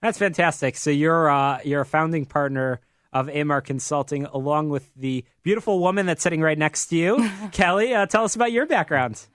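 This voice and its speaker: High-pitched American male radio host. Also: moderate pace, a bit bright, no room sound, clean studio sound. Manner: upbeat, promotional. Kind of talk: live business talk radio. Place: radio studio.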